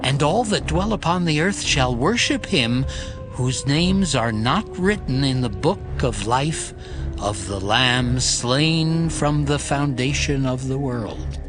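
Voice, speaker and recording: A man narrating a dramatized scripture reading in a slow, solemn, deliberate manner. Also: mid-range voice, lively intonation. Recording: music bed underneath.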